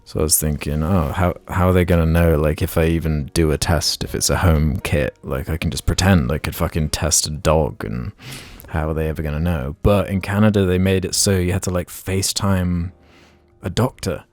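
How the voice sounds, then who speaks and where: Young guy, really deep voice, rambly podcast chat, swears a bit. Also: fairly even intonation, normal speed, a little mellow, dry recording, soft background music. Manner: rambling, swears casually, many "like" fillers. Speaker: podcast co-host.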